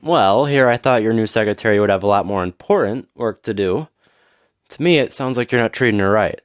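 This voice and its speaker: A man playing a smug, flirtatious businessman in an audio roleplay, teasing and smooth-talking with mock politeness. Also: fairly low pitch, a little fast, no echo, studio quality, natural voice. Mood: needling, smug. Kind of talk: scripted audio roleplay acting.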